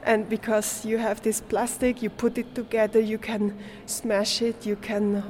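Slow, halting young woman from Switzerland. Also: on the high side, flat delivery, a little mellow, slight background noise. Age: young adult. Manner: hesitant, searching for words, with small laughs. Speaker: performance artist, art student being interviewed. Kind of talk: casual interview in non-native English.

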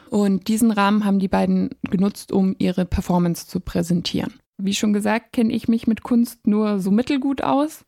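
A woman's voice: medium pitch, dark tone, no room sound, clean studio sound. A woman hosting a radio arts feature, casual and self-deprecating, then interviewing guests.

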